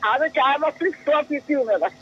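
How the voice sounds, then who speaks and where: A woman's voice: high voice, animated, quick pace, slight background noise. A middle-aged or older woman with a Pakistani accent chatting in a rambling, repetitive way as a radio caller over a muffled phone line. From Karachi, Pakistan. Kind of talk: casual rambling chat.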